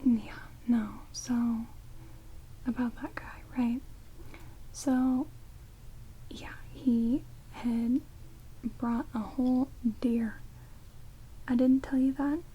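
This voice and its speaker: A woman playing a sardonic, playful vampire caretaker in a soft, breathy ASMR roleplay whisper. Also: on the high side, extremely slow, pauses often, lo-fi sound, heavily acted. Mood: gossipy, bored. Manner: teasing, dry, casually chatty. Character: sardonic immortal female vampire, rude yet playful caretaker. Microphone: close binaural microphones. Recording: steady rain noise on binaural recording. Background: heavy rain.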